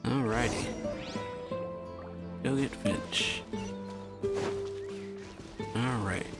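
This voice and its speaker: A man doing casual reaction commentary on a game. His voice is creaky and medium-pitched, muttering laid-back asides over loud music. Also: very slow. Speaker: reaction streamer.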